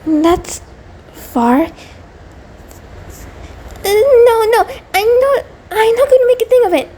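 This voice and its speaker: A woman's voice: extremely high pitch, expressive, takes it slowly, mellow, slight background noise, full character voice. A woman playing an anxious, affectionate female centipede monster, nervous, stammering and apologetic, in an intimate roleplay audio drama. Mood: worried, tearful.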